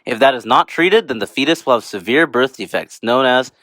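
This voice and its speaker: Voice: medium pitch, expressive, a little fast, a bit bright, no room sound, natural voice. An actor playing a cocky male vitamin character in a comic educational skit, talking in a smug, casual, sarcastic way. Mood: explaining smugly.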